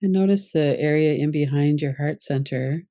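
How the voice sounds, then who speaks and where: A woman with a soft-edged, mellow voice and a noticeable creak guides a relaxation meditation. She gives each instruction calmly and gently, without hurry, as a yoga nidra teacher would. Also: deep voice, no echo, studio quality. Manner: calm, gentle, unhurried instruction.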